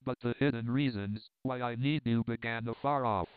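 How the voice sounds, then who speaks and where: A frail, dying visionary, fervent yet quiet, who speaks in measured phrases to save his breath, as a man reads him aloud. His voice is very smooth and clear. It comes through a narrow, phone-like line. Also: medium pitch, no echo, close to an everyday voice. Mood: solemn. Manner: quiet, measured, holding back his strength. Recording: dry, tinny phone-like sound.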